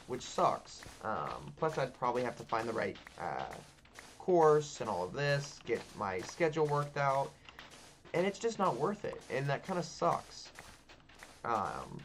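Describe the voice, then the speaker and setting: A man, chatting loosely over gameplay, full of ums, likes and casual swearing. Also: mid-range voice. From Texas. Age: 20s. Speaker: gaming channel host.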